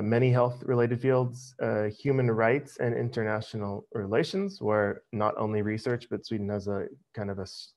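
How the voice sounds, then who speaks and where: A middle-aged American man giving a casual webinar presentation over a video call, relaxed and full of ums. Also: fairly low pitch. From United States. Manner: relaxed, many ums, friendly. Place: home office, video call. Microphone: headset.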